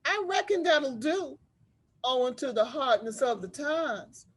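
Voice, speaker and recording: A woman's voice: sits high, expressive, unhurried, slightly rough, full character voice. A woman reading the part of a plain-spoken, bargaining lady of long ago in a storybook, with folksy phrasing. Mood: reluctant, bargaining. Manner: folksy old-time dialect phrasing.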